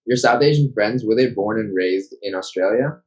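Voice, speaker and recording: A man's voice: fairly low pitch, normal speed. A man hosting an interview, asking long rambling questions and agreeing briefly. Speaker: interview host. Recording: dull lo-fi sound.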